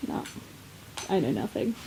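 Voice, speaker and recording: A woman's voice: a little slow, dark tone, rough recording. A woman podcast co-host chatting casually, questioning things in a playfully skeptical, hesitant way. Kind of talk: casual chat.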